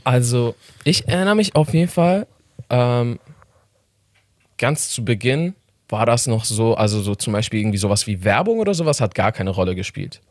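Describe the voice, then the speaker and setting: A man with a slight Iranian accent, a filmmaker guest in a casual interview who talks haltingly, with many fillers and frequent laughs. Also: medium pitch, very animated, no echo, studio quality. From Iran.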